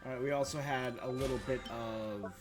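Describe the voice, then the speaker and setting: A man's voice: medium pitch, steady tone, moderate pace, over music. A man hosting a gaming livestream, chatting casually and informally with his viewers in a laid-back way. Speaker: game streamer, host.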